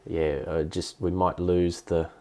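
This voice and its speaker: A man with an Australian accent and a cold, explaining things casually and haltingly as the host of a hobbyist live stream. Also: sits low, flat delivery, darkish tone. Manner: halting, hesitant delivery with repeated words. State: has a cold.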